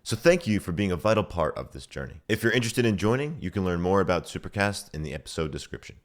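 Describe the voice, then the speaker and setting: Deep-voiced podcast host man. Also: lively intonation, fast. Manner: upbeat, friendly presenter. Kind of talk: scripted intro and interview questions.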